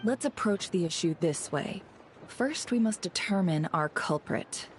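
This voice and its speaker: A woman playing a composed, shrewd adult female investigator in a video game, speaking in a measured, formal, deliberate way. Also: fairly low pitch, expressive, soft background music. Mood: analytical.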